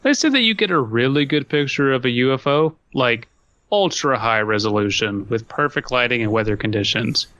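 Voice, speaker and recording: Bright, forceful man, very animated. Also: medium pitch, moderate pace, no echo, muffled recording. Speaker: podcast host. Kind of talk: reading aloud with casual comedic banter.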